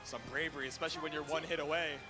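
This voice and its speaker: Fast, high-voiced man calling a match. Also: fairly even intonation, over music. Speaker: esports commentator. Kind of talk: live fighting game play-by-play commentary.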